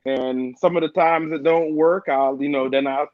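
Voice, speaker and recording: A middle-aged man from Jamaica, a guest on a casual podcast, telling stories in a relaxed way and often saying "man" and "like". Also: on the high side, moderate pace, dry recording, phone-quality sound. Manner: relaxed storytelling with frequent "man" and "like".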